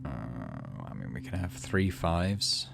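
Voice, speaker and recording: A man's voice: a bass voice, very animated, takes it slowly, gravelly, music in the background. A man giving casual let's play commentary, thinking aloud through his choices and reading out game text. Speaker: gaming video host.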